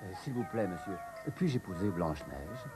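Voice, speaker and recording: A man's voice: deep voice, animated, moderate pace, over music. A man voicing a courteous young prince in love, earnest and theatrical, in a cartoon. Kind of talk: French cartoon dub.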